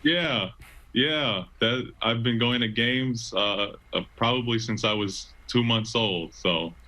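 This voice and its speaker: A man's voice: mid-range voice, takes it slowly, bright, forceful tone. A man in his twenties with an American accent, answering casually as an interview guest in an earnest, searching way. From Chicago, United States. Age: 20s. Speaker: interview guest, stadium announcer.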